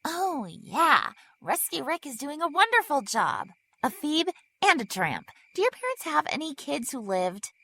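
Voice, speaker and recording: Audio drama role: woman as hurt, haughty teen mean girl, high animated voice, biting and demanding. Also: a little slow, slight background noise, exaggerated voice. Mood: scornful, pained. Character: injured, haughty, sarcastic teenage mean girl.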